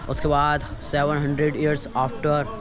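A man's voice: fairly high pitch, monotone, normal speed. A young man walking a village street, chatting to his viewers as a travel vlogger. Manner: chatty, addresses viewers as friends, mixes English words in. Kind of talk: casual walking-tour vlog. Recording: street crowd chatter behind.

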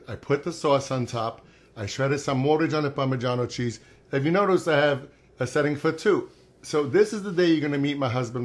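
A middle-aged man hosting a cooking show in a home kitchen, chatty and joking as he demonstrates a recipe. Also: a little high.

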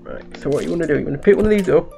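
A woman with a sore, raspy throat hosting a let's play, patiently walking a younger player through the controls. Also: low-pitched, very fast, warm tone. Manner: guiding, explaining controls. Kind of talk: casual gameplay chat. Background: game music.

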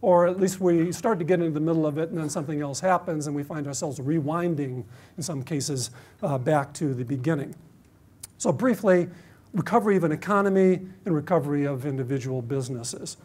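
A man's voice: a little high, a little fast, darkish tone. A man in his fifties from Detroit with an American accent, giving a conference presentation with many fillers and restarts. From Detroit, USA. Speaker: university researcher giving a conference talk. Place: conference stage with dark curtains.

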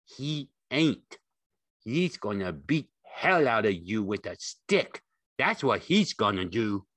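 A man's voice: fairly low pitch, big pitch swings, slow, a bit bright, no echo, pitched above the performer's own voice, exaggerated voice. A man voicing a gigantic imaginary rabbit in an audiobook, scornful and cruelly taunting in coarse speech.

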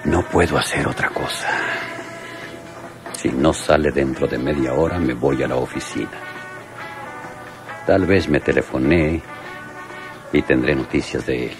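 Radio drama role: brooding lawman, rock-bottom pitch, unhurried inner monologue. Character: brooding, worried lawman keeping watch, thinking aloud.

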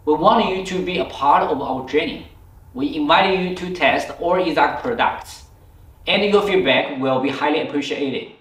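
A man's voice: fairly high pitch, normal speed, fairly bright tone. Sincere man in a roomy showroom. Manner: sincere, formal appeal to customers. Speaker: company founder. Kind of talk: direct-to-camera company address. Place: indoor showroom with tool displays. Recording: roomy, slightly distant sound.

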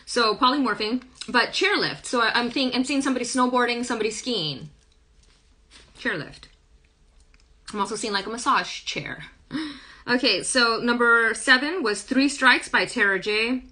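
A woman in her thirties with a hoarse, croaky voice, chatting casually through a live-stream reading in a small wood-paneled room, recorded on a phone. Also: fairly high pitch, normal speed, bright, forceful tone. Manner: chatty, rambling, hums song lyrics. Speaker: spiritual reader. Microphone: phone camera at arm's length.

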